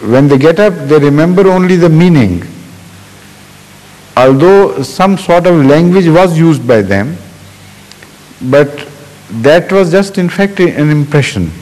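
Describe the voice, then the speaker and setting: A middle-aged man, seated at a stand mic in a large hall, answering a question with slow, thoughtful explanation and frequent pauses. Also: fairly high pitch, lively intonation, a little mellow. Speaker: religious leader answering questions. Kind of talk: spontaneous question-and-answer talk. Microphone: stand mic in front of chair. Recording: long hall reverb, old 1980s video.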